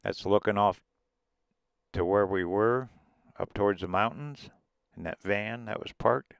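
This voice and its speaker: A man's voice: on the low side, unhurried, vocal fry, clear, resonant tone, no echo. A man vlogging about RV travel, narrating casually as he drives desert roads and points out the sights. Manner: relaxed, rambling, points out sights as he goes. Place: inside a vehicle driving desert roads.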